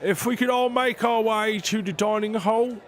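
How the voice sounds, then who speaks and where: An actor playing a formal royal advisor who hesitates, stammers and clears his throat. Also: high voice, steady tone, normal speed, slight background noise, close to an everyday voice. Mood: ceremonial. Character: fussy older male royal advisor, formal. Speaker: royal counselor (maester). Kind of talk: audio drama acting.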